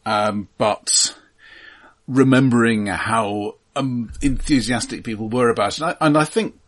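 A man co-hosting a film podcast, speaking casually in a dry, analytical, halting way, often repeating words. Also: medium pitch.

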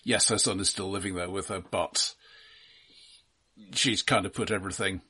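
Low, slightly husky man. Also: steady tone, moderate pace, bright, forceful tone. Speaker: podcast co-host discussing films. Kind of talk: casual film discussion.

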